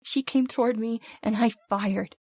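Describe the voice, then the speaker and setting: Woman acting a high-voiced, frantic haunted wife. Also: fairly even intonation, a little slow, darkish tone, no room sound. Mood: horrified. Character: frantic young wife losing her mind to possession. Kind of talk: old-time radio horror acting.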